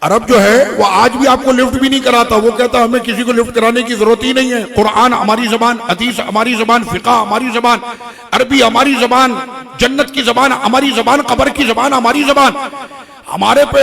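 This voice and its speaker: Guy giving a fired-up sermon, real high voice, kinda raspy fry on it. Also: steady tone, normal speed, bright, forceful tone. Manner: impassioned, repetitive rhetorical build-ups. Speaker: Islamic preacher.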